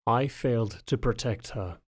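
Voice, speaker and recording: A man's voice: mid-range voice, normal speed, close to an everyday voice. A man voicing a big, lean, older wolfhound knight in an audiobook, formal and restrained, with strained emotion underneath. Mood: even, guilty.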